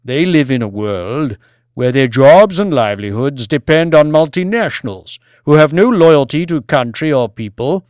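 An imperious older English lord, acted by a male narrator, speaks grandly and evasively in an audiobook drama. His pitch sits high and swings widely, with emphatic, stretched-out phrases in a close, dry recording. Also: lively intonation, a little mellow, smooth, no echo, natural voice. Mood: insistent, urgent. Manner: grandiose, emphatic, drawn-out delivery.

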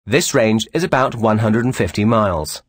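A man reading scripted instructional narration as a course instructor, measured, formal and clearly enunciated. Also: lowish voice, expressive, no room sound, clean studio sound.

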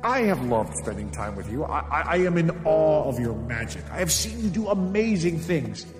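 A man's voice: on the high side, sing-song, normal speed. A man playing an earnest, smitten young mortal fisherman with a stammering, flustered manner in a children's audio drama. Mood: earnest, pleading. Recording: echoey hall reverb under loud music.

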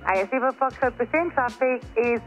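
A woman air traffic controller with a Spanish accent, giving brisk instructions over an aviation radio. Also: on the high side, muffled recording, faint music behind. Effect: aviation radio transmission.

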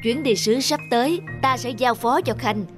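An actor playing a stately older emperor in an animated folk tale, speaking in a formal, measured, regal way. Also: male voice, very high voice. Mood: gracious, approving. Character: stately, approving older emperor with long beard. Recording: music under the dialogue.

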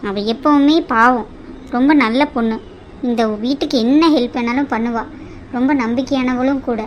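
A woman's voice: high-pitched, fairly even intonation, normal speed, highs cut off, a little hiss or hum, natural voice. A woman acting a protective older mother-in-law in a family melodrama, admonishing with firm authority. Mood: scolding.

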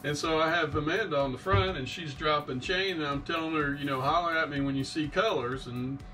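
A man's voice: on the high side, a little fast, pushed and bright, far from the mic, faint music behind, poor audio. A middle-aged man casually recounting a sailing trip as a vlog host, recorded in a small boat cabin. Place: small wood-panelled sailboat cabin.

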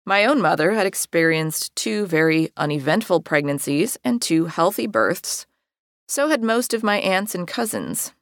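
American woman reading a memoir audiobook, slightly low voice, measured and articulate, really dry studio recording. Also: animated, no echo. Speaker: author reading own audiobook. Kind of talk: scripted audiobook narration of a personal memoir.

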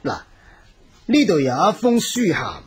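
An actor playing a self-assured old geomancy master giving measured instructions in a radio drama. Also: male voice, high-pitched, huge pitch swings, husky, exaggerated voice. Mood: assured, commanding. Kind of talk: Cantonese radio drama acting.

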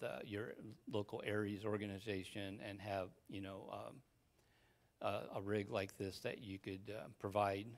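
A man giving an informal technical talk in a hesitant, halting, casual way. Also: on the low side. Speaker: conference speaker, amateur radio hobbyist. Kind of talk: informal technical presentation, wrapping up. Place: conference room, speaking to an audience.

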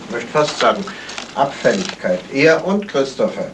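An actor playing a thoughtful, suspicious amateur sleuth in a deliberate 1970s German radio crime drama style. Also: male voice, medium pitch, very animated, lightly acted. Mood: insistent. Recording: hissy old radio play recording.

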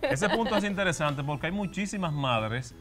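A man's voice: on the high side, animated, normal speed, a bit bright. A man hosting a TV talk show, asking questions with eager, encouraging interjections. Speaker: host. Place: TV studio set.

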